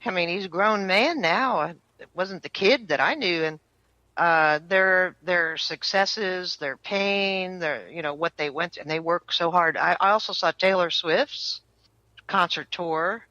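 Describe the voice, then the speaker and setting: An American singer-songwriter in her fifties chats through an interview, rambling along with plenty of fillers. Her voice is bright and forward. The sound is dry and close. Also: on the low side, measured pace, no room sound, muffled recording. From United States. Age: 50s. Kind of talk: casual interview chat.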